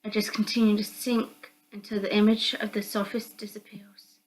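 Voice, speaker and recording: A woman's voice: mid-range voice, little pitch movement, normal speed, poor audio. A woman reading a visual novel game aloud as dramatic narration. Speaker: host of a visual novel read-through. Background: background music.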